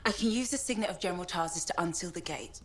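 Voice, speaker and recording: An actress playing an assured, purposeful expert in arcane matters in a video game, confident and measured. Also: female voice, lowish voice, slight background noise, close to an everyday voice. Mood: resolute. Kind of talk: video game cutscene acting.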